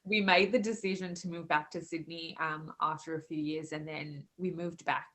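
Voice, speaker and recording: Pregnant woman in her 30s, slight south african accent, lowish voice, lots of ums and little laughs. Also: fairly even intonation, moderate pace, fairly bright tone. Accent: light South African. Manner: chatty, many fillers and laughs. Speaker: podcast guest. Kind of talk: casual interview chat.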